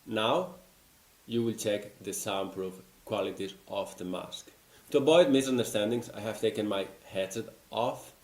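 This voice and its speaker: A man's voice: lowish voice, unhurried, darkish tone. A man, a company founder formally presenting a product demo. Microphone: desk microphone in front of him. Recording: roomy echo.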